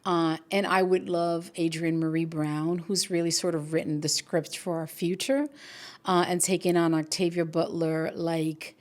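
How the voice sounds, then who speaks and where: A middle-aged woman, talking thoughtfully and deliberately in a conversational interview, stressing key words. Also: fairly low pitch, little pitch movement. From New York City. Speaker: podcast host and activist being interviewed.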